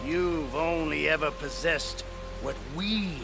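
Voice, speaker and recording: An actor playing a sneering old villain in a video game, gloating with theatrical, mocking, drawn-out delivery and a gravelly voice. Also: male voice, a little high, over loud music. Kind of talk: dramatic video game voice acting.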